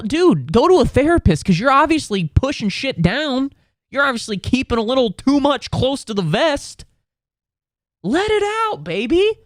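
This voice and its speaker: A man in his twenties, sick with a cold, hosting a comedy podcast from home in a profane, exasperated rant with sudden squeaky outbursts. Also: no echo, studio quality. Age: 20s. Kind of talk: ranting comedic monologue. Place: home room.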